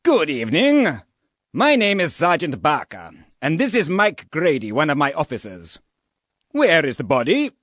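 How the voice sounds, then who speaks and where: A man's voice: fairly high pitch, wildly swooping pitch, normal speed, fairly bright tone, no echo, heavily acted. A man playing a formal, methodical police sergeant in a radio murder mystery, with an officious manner. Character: brisk, methodical police sergeant investigating a death.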